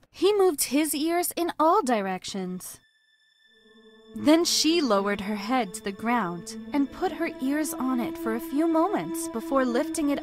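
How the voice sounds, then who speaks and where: High-pitched, animated woman storyteller. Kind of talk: scripted children's story narration.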